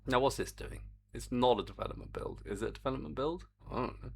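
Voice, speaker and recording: A man's voice: mid-range voice, fast, gravelly, darkish tone, a little hiss or hum. A man streaming his game development work, chatting to viewers in a rambling, self-mocking way. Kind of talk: casual live-stream commentary while coding.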